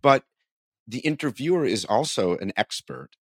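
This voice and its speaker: A man's voice: medium pitch, animated, normal speed, no echo, clean studio sound. A man hosting a conversational interview, talking thoughtfully and sometimes repeating words as he starts a sentence. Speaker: interviewer and podcast host. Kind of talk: conversational interview about writing and marketing.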